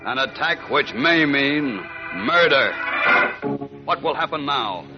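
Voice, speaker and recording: A man's voice: medium pitch, expressive, takes it slowly. An American man narrating a 1940s radio serial in a grave, emphatic, suspenseful style. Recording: dull lo-fi 1940s radio recording. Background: loud dramatic music.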